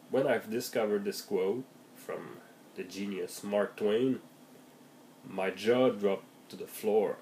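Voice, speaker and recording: A man with a heavy cold, his voice raspy, talking casually and hesitantly with many fillers as he shares tips for game masters. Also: lowish voice, dark tone, a little hiss or hum. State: has a heavy cold, voice deeper and raspier than usual. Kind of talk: casual vlog monologue.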